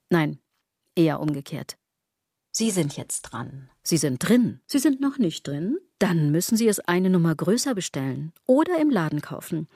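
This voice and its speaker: Radio play monologue: a bitter, ironic woman philosopher, lowish husky voice, dry close sound. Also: darkish tone, no room sound. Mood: brooding, ironic. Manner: ironic, theatrical, shifting into breathy whispers. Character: sardonic, brooding woman philosophising bitterly about fashion.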